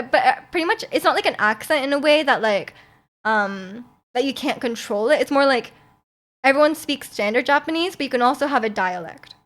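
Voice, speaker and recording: A woman's voice: on the high side, fairly bright tone. A young woman streamer chatting casually with her viewers, laughing often and restarting her sentences. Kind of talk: casual chat reading viewer comments.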